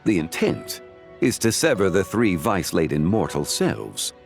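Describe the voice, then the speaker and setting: A man playing a calm, learned, courteous scholar of ancient lore in a video game, speaking in a formal, measured, eloquent way. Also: low-pitched, faint music behind, heavily acted. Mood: calm, reassuring. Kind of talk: video game dialogue acting.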